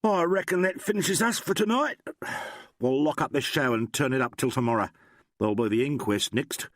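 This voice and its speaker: A man voicing a brisk, weary police inspector who speaks brusquely and without sympathy. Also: medium pitch, fairly bright tone, no echo, clean studio sound, natural voice. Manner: brusque, unsympathetic.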